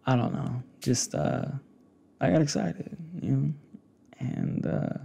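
Slow, gravelly-voiced young man, hushed and intimate. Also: mid-range voice. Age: young adult. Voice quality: gravelly, breathy.

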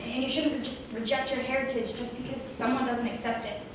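A woman's voice: on the high side, steady tone, brisk, close to an everyday voice. A young woman on stage playing a spirited, sassy young Mexican American heroine in a comic musical, projecting emphatically. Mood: earnest, firm. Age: young adult. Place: small indoor theatre stage, far camera. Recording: echoey stage from far off, audience noise.

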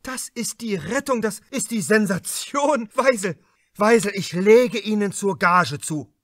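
An excitable theatre director from a crime audiobook, acted out by a male reader. He is greedy and grandly enthusiastic, exclaiming and repeating himself in a high, swooping voice. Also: unhurried, no room sound, studio quality. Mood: ecstatic.

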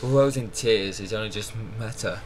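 A man's voice: lowish voice, moderate pace. A young man in a small bedroom, chatting casually and exclaiming as he reacts to a clip on his webcam. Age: young adult. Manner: chatty, exclaiming, talks over the clips. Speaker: reaction video host. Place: small bedroom with shelves of figures. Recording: loud clip music under the voice. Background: loud music and dialogue from the episode.

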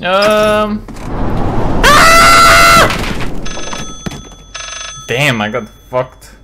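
A man in his twenties giving jokey, sweary, excitable gaming commentary into a close desk microphone in a room at home. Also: high voice, extremely slow, very noisy. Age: 20s. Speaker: gaming video host. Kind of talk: casual let's play commentary. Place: small home room, indoors. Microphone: close desk microphone with pop filter. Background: game music.

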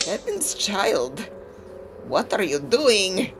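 A woman's voice: mid-range voice, big pitch swings, faint music behind. A woman playing a weary old female spirit medium, solemn and foreboding, in a theatrical audio drama. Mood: stern, weary. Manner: solemn, dramatic, deliberate pronouncements.

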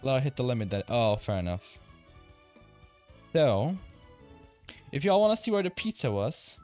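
A man's voice: mid-range voice, big pitch swings, normal speed, darkish tone, soft background music. A young man from the Czech Republic with a Czech accent, a streamer chatting casually, reading chat aloud and swearing now and then. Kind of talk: casual live-stream chat while gaming.

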